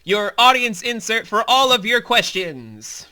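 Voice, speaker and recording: Bright, high-voiced man hosting casual commentary. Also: sing-song, unhurried. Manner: sweary, informal, emphatic. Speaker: series creator and commentary host. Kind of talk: casual behind-the-scenes commentary.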